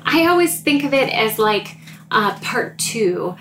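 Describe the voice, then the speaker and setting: Woman guest: smooth, soothing, calm delivery, slight room noise. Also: a little high, a little slow. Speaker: podcast guest leading a prayer practice. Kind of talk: casual chat.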